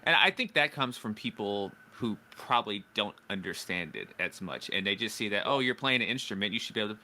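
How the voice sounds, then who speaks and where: Host: man, lowish voice with a very bright tone, easygoing and agreeable. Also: expressive, a little fast. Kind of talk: casual interview conversation.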